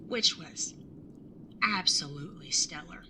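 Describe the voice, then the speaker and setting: A woman's voice: deep voice, animated, unhurried, noisy background. A young woman playing a wry, exasperated older sibling who narrates a road trip in an audio drama, with a dry, sarcastic way of talking. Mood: wry narrating. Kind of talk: audio drama acting and first-person narration.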